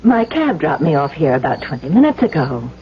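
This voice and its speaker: An actress playing a poised, flirtatious and direct librarian in a radio drama. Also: female voice, low-pitched, sing-song, a little fast. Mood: playful, flirtatious. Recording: dull 1970s radio broadcast, faint noise.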